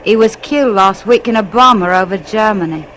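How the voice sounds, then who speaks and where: A woman's voice: mid-range voice, brisk, a bit bright, a little hiss or hum, natural voice, poor audio. An actress playing a fretful, deferential working-class English widowed mother in a 1940s radio drama, on an old broadcast recording. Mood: weary, resigned.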